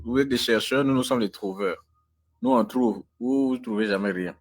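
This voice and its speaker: A man's voice: mid-range voice, moderate pace. Teasing man grilling an opponent. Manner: teasing, mocking, insistent questioning. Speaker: debate opponent. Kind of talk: live online debate.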